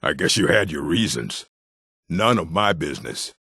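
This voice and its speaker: An actor playing a gruff, aggressive orc warrior in a video game, with a rough, gravelly voice and a blunt, menacing manner. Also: male voice, very deep voice, sing-song, moderate pace, pushed and bright, no echo, studio quality, full character voice. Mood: gruff, wary. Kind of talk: video game character barks.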